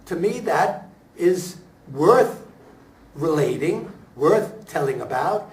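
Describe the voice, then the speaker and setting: High-voiced man speaking slowly, echoey room. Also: animated. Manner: halting, deliberate phrasing with emphatic pauses. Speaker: stage actor speaking at a talkback. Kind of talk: reflective post-show discussion. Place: room at a staged reading, before an audience. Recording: echoey room, picked up from far off.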